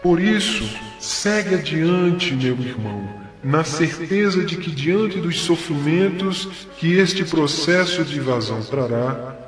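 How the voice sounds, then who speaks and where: A man playing a benevolent, fatherly spirit mentor in an audio drama, giving solemn, measured counsel with deliberate pauses. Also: on the high side, animated. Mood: solemn, reassuring. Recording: echoey long hall reverb, music behind. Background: music.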